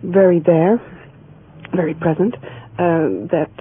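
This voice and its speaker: A man interviewing two magazine editors, asking halting questions while he searches for words. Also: high voice, steady tone. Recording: tinny radio line with faint noise.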